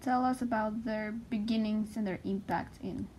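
A young woman casually reads aloud and comments, halting and excitable, swearing freely. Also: medium pitch, a little hiss or hum. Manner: excitable, foul-mouthed, halting as she translates. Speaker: vlogger. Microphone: handheld phone filming the page.

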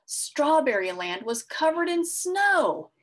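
Animated middle-aged woman reading to children. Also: sits high, slow pace. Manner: expressive, enunciated for young children. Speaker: read-aloud host for children. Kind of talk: children's storybook narration. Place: small furnished room at home, indoors.

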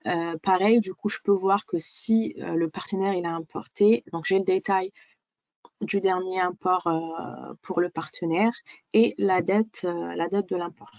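A woman giving a webinar software demonstration, explaining step by step as the presenter. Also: on the low side, fairly even intonation, measured pace, no echo, lo-fi sound.

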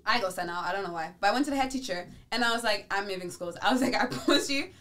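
A young woman from the Netherlands co-hosting a podcast, telling stories in casual chat with an animated, laughing manner. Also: mid-range voice, rapid-fire. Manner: animated storytelling with frequent laughs. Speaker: podcast co-host.

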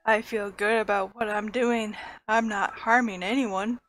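A woman reading a timid, apologetic man's lines from a farming video game in a soft, gentle voice. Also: mid-range voice, fairly even intonation, a little slow, natural voice, poor audio. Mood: sheepish. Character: timid, apologetic man living outdoors. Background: game music.

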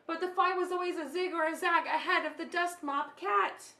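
A woman's voice: very high-pitched, fairly even intonation, moderate pace, bright, forceful tone, slight background noise. A woman in her thirties reading a picture book aloud to children, expressively, with little questions and asides to them. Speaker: children's storyteller. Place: small room at home, indoors. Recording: slightly echoey room.

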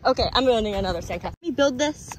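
High, animated woman playing pretend. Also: racing, rough recording. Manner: exclamatory, expressive, with frequent gasps. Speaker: adult playing dolls with children. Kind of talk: playful doll pretend play with children. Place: sandy beach, outdoors. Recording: outdoor beach noise.

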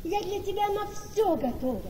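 An actress playing a tender, naive and devoted young wife in a radio play, speaking emotionally with exclamations and dramatic pauses. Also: female voice, very high-pitched, animated, warm tone. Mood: tender, eager. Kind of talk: theatrical radio play acting. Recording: echoey noisy hall, long reverb.

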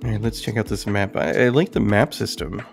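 Gravelly man, casual gameplay commentary. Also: fairly low pitch, fairly quick, faint music behind. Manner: musing, thinking aloud. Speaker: game streamer reviewing indie games.

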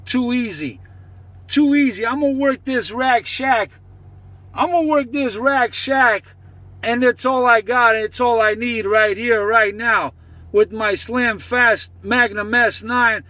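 A man with a very high voice banters on CB radio. He is boastful, chanting and repeating his phrases as he goes. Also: unhurried, sharp tone, no room sound. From New Jersey, United States. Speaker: CB radio operator.

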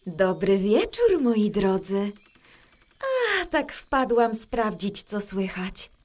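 A woman voicing a kindly female forest fairy who tells a tale in a children's audio drama, warm and chatty. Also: mid-range voice, huge pitch swings, slow, heavily acted. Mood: friendly. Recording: soft music underneath.

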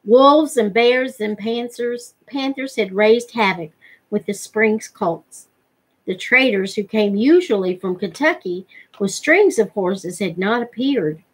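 Husky middle-aged woman reading a story aloud. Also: medium pitch, expressive, slow pace, poor audio. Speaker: reader of a novel read-along. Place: living room at home, indoors.

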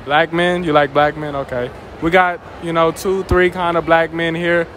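A man's voice: a little high, level tone, normal speed, fairly bright tone, slight background noise. Young man interviewing in a mall. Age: young adult. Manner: easygoing, repeats answers back as questions, slangy. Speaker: street interviewer. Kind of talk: casual public interview. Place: indoor shopping mall. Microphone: handheld mic.

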